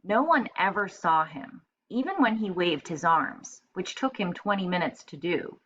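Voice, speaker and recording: A woman reading aloud as a teacher, narrating a storybook and pausing to explain in simple terms. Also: lowish voice, expressive, fairly bright tone, highs cut off, a little hiss or hum.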